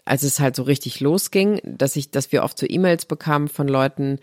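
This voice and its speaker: A woman's voice: rumbling low, fairly quick, a little mellow, dry recording, clean studio sound. A middle-aged woman from Germany, a guest on a podcast talking about her health platform, explaining things earnestly and at length. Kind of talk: podcast conversation.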